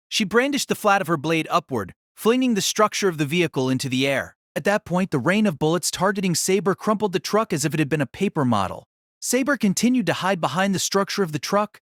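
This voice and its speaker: A male audiobook narrator with a slightly high voice in a very dry recording. He reads dramatic fiction in a measured, formal and literary way. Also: fairly bright tone, no echo. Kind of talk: scripted dramatic story narration.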